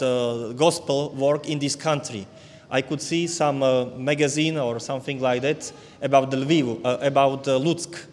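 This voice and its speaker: A man with a Slovak accent, Slovak his first language, speaking haltingly with many fillers and restarts as a visiting preacher addressing a church. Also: medium pitch. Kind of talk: church talk. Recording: long hall reverb.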